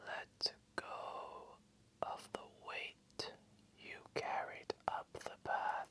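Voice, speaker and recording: A man voicing an ancient, wise and gentle old dragon in a gravelly, breathy voice, telling a bedtime story slowly and soothingly. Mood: soothing, hushed. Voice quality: gravelly, breathy, often whispered. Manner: slow, solemn, soothing, deliberate. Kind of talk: slow bedtime storytelling, ASMR.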